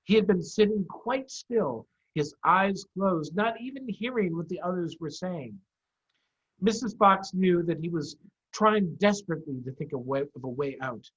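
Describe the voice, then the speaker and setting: A man, a head of school, chatting to children and reading them a story in an animated way over a video call. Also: sits high, moderate pace, slightly rough. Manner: animated, addressing children with simple questions. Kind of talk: children's storytime read-aloud. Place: office. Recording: dull, lo-fi video-call audio.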